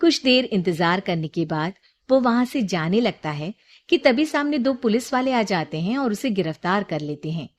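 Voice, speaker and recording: A woman tells a scripted bedtime-style moral story as its narrator. Her voice sits at a medium pitch, with clear storybook phrasing. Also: lively intonation, normal speed.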